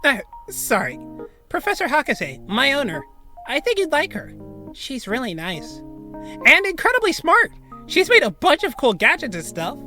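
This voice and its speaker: A man's voice: very high voice, wildly swooping pitch, moderate pace, bright, forceful tone, soft background music, pitched above the performer's own voice, heavily acted. A man voicing an earnest young male talking cat who chats casually and hesitantly in an audio story. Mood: earnest, chatty.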